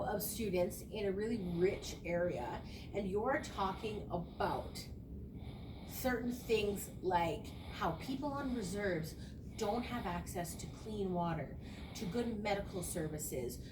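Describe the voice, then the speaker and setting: A woman's voice: mid-range voice, lively intonation, unhurried. A young adult woman, a student teacher, giving an earnest, conversational spoken reflection and explaining her ideas. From small rural town. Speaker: education student. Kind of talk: spoken reflective class presentation. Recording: echoey room, distant mic, noisy.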